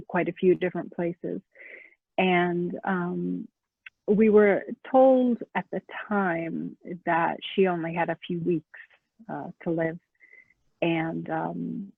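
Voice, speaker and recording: Soft-spoken woman on a tinny call. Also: lowish voice, vocal fry, breathy edge, no room sound. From Florida, USA. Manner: soft-spoken, hesitant, with many ums and pauses. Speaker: artist and guest. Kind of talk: casual interview over video call. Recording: narrow phone-like call audio.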